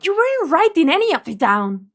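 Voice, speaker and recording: A woman voicing a chatty, excitable young girl in an amateur game fan dub, with a childlike, informal manner. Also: very high voice, brisk, exaggerated voice. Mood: eager.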